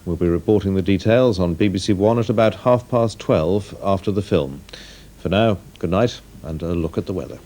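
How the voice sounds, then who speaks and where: A man with a British accent reading scripted news in a measured, formal way. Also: low-pitched, mellow. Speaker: newsreader.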